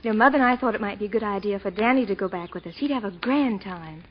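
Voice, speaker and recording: A woman's voice: mid-range voice, fast talker, natural voice. An actress playing a sweet, cheerful, considerate young woman in 1940s radio drama style. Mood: friendly. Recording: dull, noisy 1940s radio recording.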